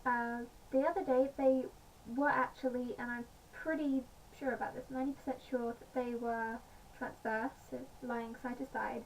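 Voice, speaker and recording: A woman with a slightly croaky voice, chatting casually in a home vlog with many pauses and fillers. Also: a little high, steady tone. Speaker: vlogger. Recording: distant mic, faint background noise.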